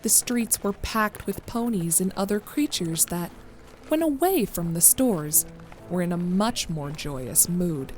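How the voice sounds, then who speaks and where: A woman's voice: fairly low pitch, expressive, unhurried, a little mellow, soft background music. A woman reading scripted narration of a horror story as the narrator.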